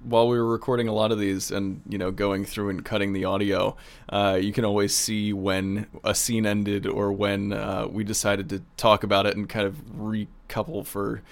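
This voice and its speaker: Lowish-voiced man chatting casually as co-host. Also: level tone, normal speed. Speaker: producer and co-host of an audio drama podcast. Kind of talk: casual behind-the-scenes chat.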